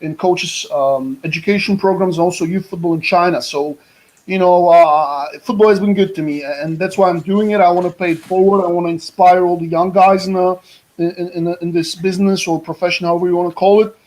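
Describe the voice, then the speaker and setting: Man, Polish accent with mid-Atlantic tinge, high-pitched, energetic, repeats words, video call. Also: brisk, rough recording. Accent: Polish with a mid-Atlantic English lilt. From Poland. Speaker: American football coach. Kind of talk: guest presentation on a video call.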